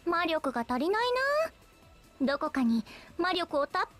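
High-pitched, teasing anime girl archer. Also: animated, normal speed, music in the background. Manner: coy, teasing, theatrical. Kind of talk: Japanese anime game voice acting.

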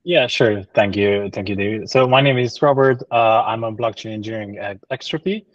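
Low-voiced man on a call. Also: brisk, no echo. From Romania. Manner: hesitant, many uh fillers. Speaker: course trainer. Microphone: video call. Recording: dull dry call audio.

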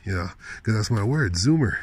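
A man's voice: lowish voice, lively intonation, fairly quick, breathy edge, no echo. A man rambling casually in slangy, incredulous asides, speaking into a handheld phone while walking outdoors at night. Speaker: vlogger. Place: outdoors at night on a park path.

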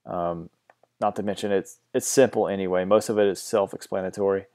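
A man giving a casual software tutorial, hesitant and full of ums and restarts, recorded on a mono home mic. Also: low-pitched, fairly even intonation, fairly quick. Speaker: tutorial host.

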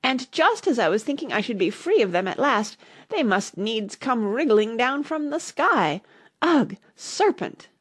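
A woman's voice: mid-range voice, very animated, moderate pace, slightly rough, dry recording, studio quality. A woman voicing a frantic, quarrelsome mother pigeon in a storybook, complaining and exclaiming with suspicious scorn. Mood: shrill, exasperated. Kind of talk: storybook character reading.

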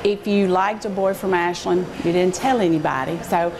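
A woman in her fifties from Alabama with a Southern accent, telling her story in an interview inside a small restaurant, earnest and halting at times. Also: fairly low pitch, background noise. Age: 50s. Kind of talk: emotional interview. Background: music.

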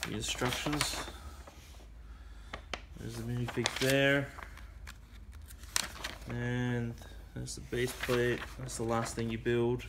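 A man's voice: mid-range voice, little pitch movement. A man casually showing off and reviewing a vintage building set, rambling with fillers, in a cluttered toy room. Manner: unhurried, rambling, with frequent fillers. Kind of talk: casual show-and-tell commentary. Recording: noisy home video.

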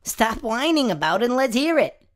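A man voicing a small grumpy male weasel, blunt and pesky, with a high, sharp, snappish, bossy voice. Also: sing-song, moderate pace. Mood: impatient.

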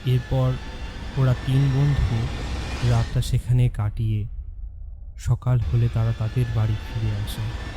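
A man's voice: medium pitch, level tone, slow pace, pauses often, noisy background. A man narrating a horror story in a dramatic, scripted style. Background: music.

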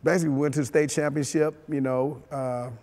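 A man's voice: a little high, fast talker, big-room sound. A man, telling his life story in a sit-down interview, easygoing and chuckling, full of "you know". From Mississippi, US. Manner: relaxed, chuckling, many you-knows. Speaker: former football player. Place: studio with dark backdrop.

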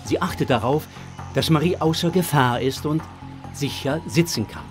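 A man's voice: fairly high pitch, expressive, moderate pace. Male storyteller with music behind. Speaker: narrator. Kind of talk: scripted children's audio drama narration.

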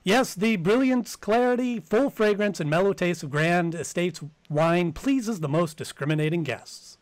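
A man's voice: sits high, a little slow, dry recording. An older man announcing in old-time radio style, delivering formal, emphatic sponsor reads from a home office. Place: home office, on a video call.

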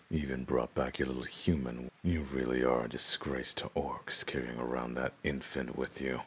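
A man's voice: a bass voice, steady tone, a little slow, fairly bright tone, husky, exaggerated voice. A man playing an arrogant, domineering orc who would be chief, speaking with pompous menace in a roleplay audio drama. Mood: contemptuous.

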